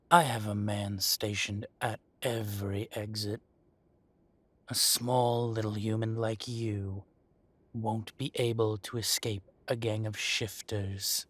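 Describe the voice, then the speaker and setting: A man playing a menacing, possessive dragon-shifter mafia boss, speaking in slow, condescending threats in a dramatic audio roleplay. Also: fairly low pitch, a bit bright, no echo. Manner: slow, deliberate, condescending threats with heavy pauses. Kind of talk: dramatic roleplay monologue addressed to the listener.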